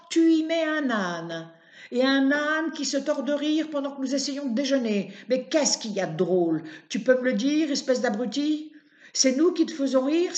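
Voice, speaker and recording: Woman reading as an old woman, enraged, yelling, shrill, echoey lo-fi sound. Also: natural voice. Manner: screaming insults, threatening. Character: furious shrieking old woman neighbor. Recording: dull roomy recording.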